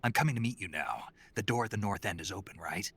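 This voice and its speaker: A man playing a gruff, weary soldier on a covert mission in a video game, terse and dry with a gravelly rasp. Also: fairly low pitch, expressive, quick pace, a bit bright, dry recording, full character voice. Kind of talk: video game cutscene acting.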